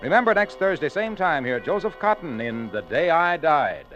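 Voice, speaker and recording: A man's voice: a little high, sing-song, moderate pace. A man announcing and reading sponsor copy on a 1940s radio broadcast, polished and buoyant. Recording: dull old radio broadcast with music.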